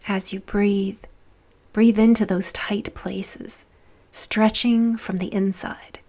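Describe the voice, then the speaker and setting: A woman narrating a guided visualization in a soft, soothing, deliberate voice with breathy phrase endings and long pauses. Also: mid-range voice, flat delivery.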